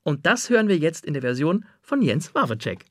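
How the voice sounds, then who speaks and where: A man's voice: a little high, sing-song, moderate pace, heavy background noise. A man hosting an interview, asking prepared questions and introducing music. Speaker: interviewer, radio host.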